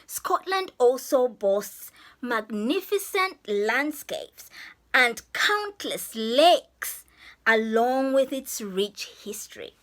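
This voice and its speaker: A woman's voice: fairly high pitch, sing-song, slow, fairly bright tone. A woman from Zambia chatting effusively to camera in a vlog, laughing often. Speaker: vlogger. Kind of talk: casual vlog talk to camera.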